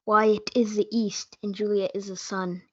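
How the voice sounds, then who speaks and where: A woman's voice: medium pitch, flat delivery, normal speed, a bit bright, dry recording, close to an everyday voice. Student reader's theatre: lovestruck, yearning young man, played by a female performer. Earnest, dry lo-fi sound. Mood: adoring. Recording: dull lo-fi audio.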